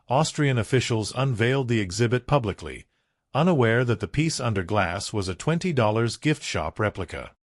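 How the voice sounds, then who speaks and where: A man's voice: mid-range voice, dry recording, clean studio sound. A man narrating a scripted true-crime documentary, telling it dramatically in short, punchy phrases.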